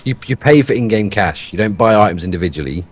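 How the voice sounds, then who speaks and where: A British man co-hosting a gaming podcast, swearing a lot and arguing his point in a self-described monotone. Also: lowish voice, quick pace, slight background noise. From Britain. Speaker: podcast co-host. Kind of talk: casual gaming podcast chat.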